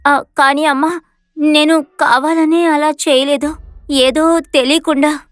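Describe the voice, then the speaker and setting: A woman voicing a brave, sorrowful, polite orphan village girl in an animated moral story, earnest and pleading. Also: high-pitched, takes it slowly, dry recording, studio quality, soft background music. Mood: timid, pleading.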